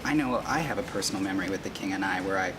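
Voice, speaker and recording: A woman's voice: very deep voice, very fast, distant mic. A woman from the Ozarks hosting a casual interview, upbeat and chatty, often restarting her sentences. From Ozarks, USA. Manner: upbeat, chatty, repeats words when starting sentences. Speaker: host. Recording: off-mic with noisy background.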